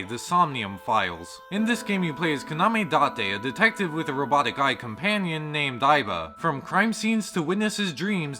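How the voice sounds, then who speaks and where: A man's voice: fairly high pitch, sharp tone. A man reading a scripted video game review as narration. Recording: music behind the voice. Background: background music.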